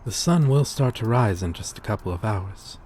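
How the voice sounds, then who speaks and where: A man playing a courteous, lonely vampire in an ASMR roleplay, speaking softly and formally with hesitant pauses. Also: on the low side, lively intonation, a little hiss or hum, natural voice. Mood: courteous, fond. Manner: soft-spoken, formal, polite, hesitant pauses. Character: gentle, courteous old-souled male vampire, lonely former fisherman. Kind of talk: ASMR roleplay audio drama.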